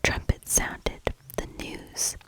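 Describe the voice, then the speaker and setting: Slow, whispering woman reading a fairy tale. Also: poor audio. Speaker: ASMR reader, storyteller.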